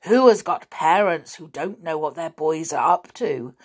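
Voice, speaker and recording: A woman voicing a stern, solemn invisible male figure from a storybook, firing accusing questions in a booming voice. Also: expressive, a little slow, breathy edge, full character voice.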